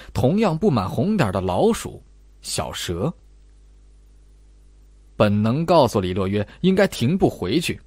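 A man's voice: mid-range voice, a little mellow, husky, dry recording. A man narrating a suspenseful horror novel as an audiobook, with dramatic storytelling delivery.

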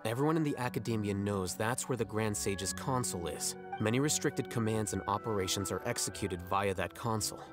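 A man playing an aloof, arrogant young scholar in a video game, composed and deadpan, with precise, formal diction. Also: fairly low pitch, a little fast, few pauses, natural voice. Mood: calm, matter-of-fact. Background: game music.

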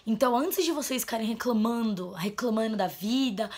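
A young woman, talking into a camera in a small bedroom. Her voice sits a bit high, and she is blunt and emphatic. She drops low, then turns loud to drive a point home. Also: fairly quick, background noise. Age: 20s. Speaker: vlogger. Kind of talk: heartfelt vent to camera. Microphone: camera mic at close framing.

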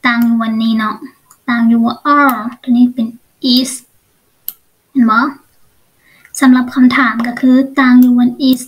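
A woman teacher with a Lao accent and a high voice gives an online English lesson. She speaks very slowly, going step by step and often checking with short questions that the learner follows. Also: level tone, rough recording.

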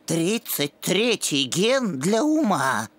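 Lowish-voiced, brainy boy dog. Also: big pitch swings, slow pace, dry recording, full character voice. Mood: nervous. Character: brainy, earnest older boy dog, cartoon brother. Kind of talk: animated cartoon acting. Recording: music behind the voice.

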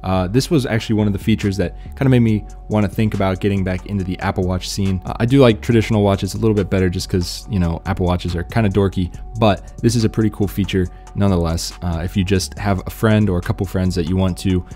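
A man's voice: low voice, steady tone, fast, few pauses, warm tone, faint music behind. A man giving casual, laid-back tech news commentary in an informal, slangy way.

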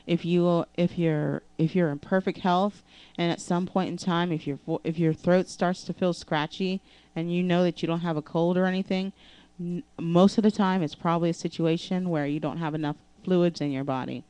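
A young woman vocal coach with a deep speaking voice, talking casually and haltingly with many fillers into a handheld mic in her living room. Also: steady tone, dark tone, clean tone, dry recording. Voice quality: low speaking voice. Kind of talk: casual vocal lesson vlog. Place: living room with couch and keyboard. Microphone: handheld microphone.

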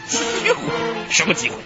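A man's voice: very high voice, huge pitch swings, slow pace, full character voice. An actor playing an agitated, intense man in a police radio drama, with a theatrical, emphatic delivery. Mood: menacing, defiant. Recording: muffled radio drama with music underneath.

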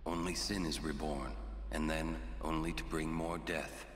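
A man voicing a grave, world-weary older warrior in a video game, slow and solemn. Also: extremely low pitch, little pitch movement. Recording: echoey reverberant hall, faint background noise.

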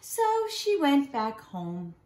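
Animated higher-voiced woman reading to children. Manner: animated storytelling for young children, drawn-out emphasized words. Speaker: nursery school teacher reading bedtime stories. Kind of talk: expressive read-aloud of a children's picture book. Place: small living room at home, indoors. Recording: roomy home recording.

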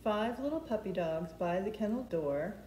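A woman's voice: mid-range voice, expressive, moderate pace, warm tone. A middle-aged woman hosting a preschool storytime, giving simple step-by-step prompts to small children. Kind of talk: children's storytime instruction, rhymes and counting. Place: indoors, open living room and kitchen at home. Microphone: camera mic a few feet away. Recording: echoey room with faint background noise.

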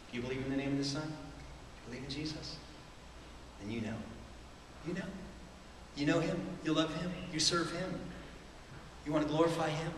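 A man's voice: mid-range voice, fairly bright tone, a little hiss or hum. A middle-aged man preaching a sermon from a church stage, talking conversationally with rhetorical questions and small chuckles. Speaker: pastor. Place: church stage with a large screen. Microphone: far camera in the hall. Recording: echoey hall from far off.

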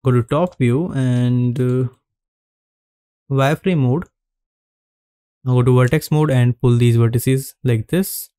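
A man narrating a screen-recorded 3D modeling tutorial, giving calm step-by-step instructions with frequent pauses. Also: medium pitch, clean tone, no echo, clean studio sound.

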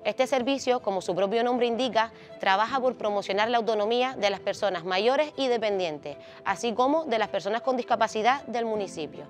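A young woman with a Canary Islands Spanish accent presenting a community program to camera in a measured, scripted way on a dark theater stage. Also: fairly high pitch, faint music behind. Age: young adult. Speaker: social program facilitator presenting.

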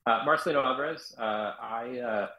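A man's voice: mid-range voice, normal speed, bright, forceful tone, echoey room, poor audio. A man from South Florida, a guest entrepreneur answering interview questions with many fillers and restarts.

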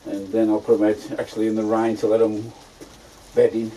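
Homely man in his fifties, low dark voice, chatty allotment vlogger, faint background noise. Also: completely flat delivery, fairly quick, low-quality recording. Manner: chatty, homely, plain-spoken. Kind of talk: casual gardening vlog narration. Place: inside a greenhouse on an allotment.

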